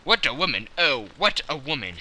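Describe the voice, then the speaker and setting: A man voicing a proud, excitable king who talks in loud exclamations. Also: medium pitch, normal speed, full character voice, low-quality recording. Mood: excited. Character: proud, excitable middle-aged male king.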